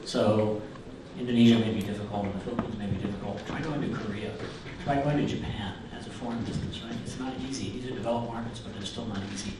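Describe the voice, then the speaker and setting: A man on a conference panel with a slightly low voice, making his points with rhetorical questions. He is heard from a distance in a big, echoing hall. There is clear noise behind him. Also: steady tone, fast talker. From California. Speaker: panelist, risk consultant. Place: conference stage in a large hall. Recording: echoey hall, distant mic, noisy.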